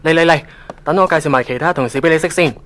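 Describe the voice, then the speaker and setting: A man's voice: a little high, quick pace, a bit bright, lightly acted. A man with a Hong Kong accent playing a chatty, familiar and teasing young office gofer in a radio drama. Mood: friendly, breezy. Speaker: voice actor in an online radio drama. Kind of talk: casual radio drama dialogue.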